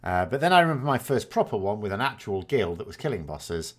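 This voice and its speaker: Guy in his 40s, lowish voice, rambly gaming chat, keeps correcting himself, dry jokes at his own expense. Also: sing-song, quick pace. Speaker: gaming channel host. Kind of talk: casual solo chat answering viewer questions.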